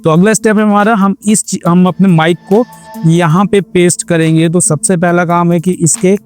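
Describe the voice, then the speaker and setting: A man's voice: high voice, fairly even intonation, normal speed, darkish tone, faint music behind. A man narrating a casual hands-on how-to as a vlog host, explaining step by step and mixing in English words. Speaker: motorcycle vlogger and tutorial host.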